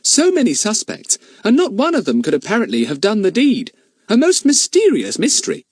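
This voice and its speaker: A man voicing an excitable young boy who speaks in eager, breathless exclamations. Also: high-pitched, normal speed, no echo, clean studio sound, voice pushed up, heavily acted. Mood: excited.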